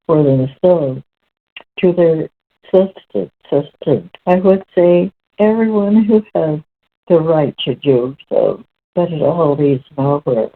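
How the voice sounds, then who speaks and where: A woman reading spiritual text aloud as host, in a measured, deliberate way with halting phrasing. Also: deep voice. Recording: muffled, very narrow lo-fi sound.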